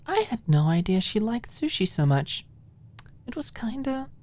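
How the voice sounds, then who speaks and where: Audiobook role: confident, amused young woman, voiced by a female narrator, lifted pitch, breezy and playfully teasing. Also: big pitch swings, a little slow, a little mellow. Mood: fond amusement.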